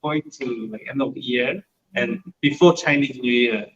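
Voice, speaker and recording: A soft-spoken man with a Korean accent answers in short, halting English, Korean being his first language. His voice sits at an ordinary male pitch. The sound is muffled, like a lo-fi live stream. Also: husky. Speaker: salon owner guest. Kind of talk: casual interview answers.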